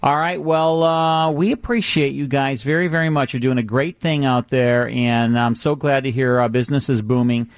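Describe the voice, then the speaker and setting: A man's voice: medium pitch, normal speed, hardly any pauses, clear, resonant tone, dry recording. A man with an American accent hosting a radio show in a conversational style. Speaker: radio host.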